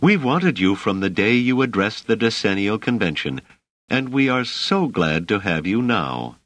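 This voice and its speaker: A man's voice: on the low side, very animated, unhurried, fairly bright tone, no room sound, pitched above the performer's own voice, exaggerated voice. A man voicing a plump, merry, middle-aged motherly woman in an audiobook, gracious and politely chatty. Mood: pleasantly amused.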